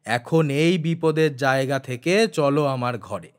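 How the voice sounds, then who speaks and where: A man's voice: medium pitch, lively intonation, close to an everyday voice. Man playing a dignified, commanding old patriarch. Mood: calm, reassuring. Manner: measured, authoritative, reassuring.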